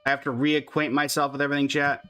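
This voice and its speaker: A man's voice: a little high, completely flat delivery, fast talker, sharp tone, clear, resonant tone, faint music behind. A man giving casual, chatty commentary on a game livestream, talking to his chat and humming little filler syllables.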